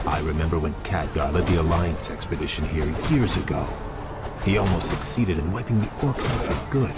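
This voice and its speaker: An actor playing a proud, earnest elf prince in a fantasy game, speaking formally and with command over music. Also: male voice, deep voice, brisk. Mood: pensive, grave. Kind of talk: fantasy game cutscene acting.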